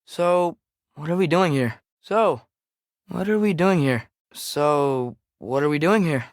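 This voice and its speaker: A man's voice: a little high, expressive, slow, no echo, studio quality. A young man with an American accent voicing a restless, casual teenage boy adventurer in a video game, with a hesitant, understated delivery. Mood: impatient, restless. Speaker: game character voice actor. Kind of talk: video game companion voice lines.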